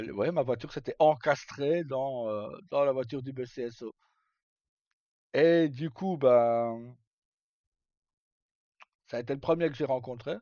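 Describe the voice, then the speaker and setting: A man talks in a soft-edged, mellow voice at a middling pitch. He recounts stories casually, rambling and hesitating in colloquial speech, as a streamer would. The recording is dull and carries noticeable room echo. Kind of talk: casual live chat, recounting roleplay stories.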